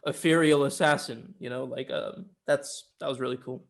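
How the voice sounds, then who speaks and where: A man's voice: mid-range voice, fairly even intonation, normal speed, vocal fry. A man co-hosting a casual film discussion, talking in an informal, emphatic way with some swearing. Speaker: podcast co-host reviewing films.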